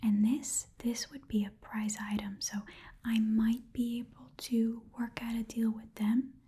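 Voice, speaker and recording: A woman voicing a shifty, chatty goblin pawnshop keeper in an ASMR roleplay, whispering breathily in a rambling, hesitant way and repeating phrases softly. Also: fairly high pitch, level tone, unhurried, breathy edge. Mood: musing, haggling. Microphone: close ASMR microphone.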